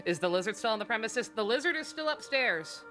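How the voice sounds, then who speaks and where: A woman's voice: a little high, lively intonation, a little fast, bright, forceful tone, soft background music. A woman giving casual live-stream game commentary in a sarcastic, irreverent way, swearing freely.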